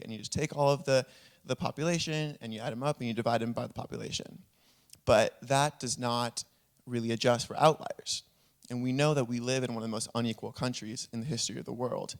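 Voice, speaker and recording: A man from Puerto Rico speaks at a conference panel, laying out his points one by one. His tone is earnest and explanatory, and his voice sits at a middle pitch. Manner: earnest, explanatory, lists examples.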